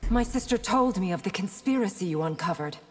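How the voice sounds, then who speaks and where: An actress playing a cool, dutiful princess and military commander in a video game, composed and formal. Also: female voice, medium pitch, animated, brisk, lightly acted. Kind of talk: video game fight banter, dramatic voice acting. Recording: long reverb with faint noise.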